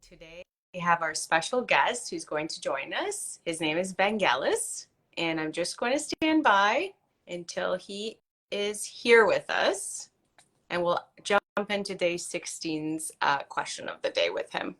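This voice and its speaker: A woman's voice: lowish voice, sing-song, measured pace, fairly bright tone. Upbeat woman hosting a live interview. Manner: upbeat, welcoming. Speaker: host.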